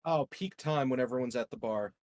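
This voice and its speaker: A man's voice: mid-range voice, a little fast, a little mellow. A man playing a tabletop roleplaying game, chatting in an informal, joking way. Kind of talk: casual chat.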